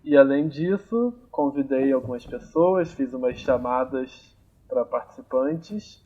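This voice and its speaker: A young man hosts a casual podcast at an easy, unhurried pace, his voice at a middling pitch. He thinks aloud as he talks, with frequent fillers and restarts. The home recording sounds slightly dull and lo-fi. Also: animated. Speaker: podcast host. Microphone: amateur home recording, phone or computer.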